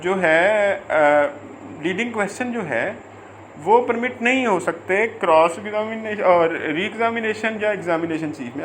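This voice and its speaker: A man with a Pakistani accent giving an informal law lecture, halting and repeating phrases as he reads out and explains. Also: high-pitched, fairly bright tone, a little hiss or hum. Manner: halting, repeats phrases, stretches out English words when reading. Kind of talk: informal lecture, reading English statute and explaining it.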